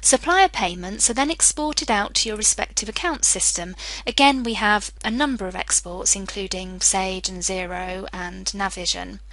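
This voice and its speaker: Female demo presenter, mid pitch, bright and forward, scripted step-by-step narration, dry close recording. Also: normal speed, no echo, rough recording. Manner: clear step-by-step explaining. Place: voice-over for screen recording.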